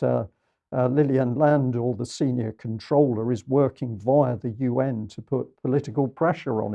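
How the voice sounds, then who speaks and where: Gravelly, dark-voiced male presenter. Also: mid-range voice. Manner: pointed, critical, emphatic. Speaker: news show presenter. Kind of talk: commentary on news clips.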